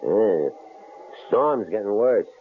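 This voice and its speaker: A man's voice: fairly low pitch, lively intonation, slow. An actor playing a menacing, cold-blooded male intruder in an old radio drama, taunting and leering. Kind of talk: 1940s radio acting. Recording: tinny old radio broadcast with faint hiss.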